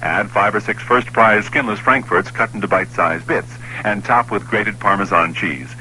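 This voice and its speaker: A man reading a radio commercial with an upbeat, lively sales delivery. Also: on the low side, normal speed, a little hiss or hum, low-quality recording. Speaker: commercial announcer. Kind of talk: scripted radio ad.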